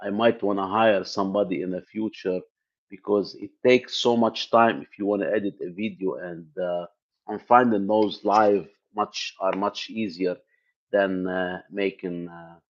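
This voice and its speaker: A man from Lebanon whose first language is Arabic chats on a live stream about tech topics. His voice sits a little low and he rambles with fillers and false starts. The audio is dull and lo-fi. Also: fairly even intonation, measured pace. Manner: rambling, many fillers and restarts. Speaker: tech channel host. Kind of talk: casual live stream chat to viewers. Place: home in the US Midwest.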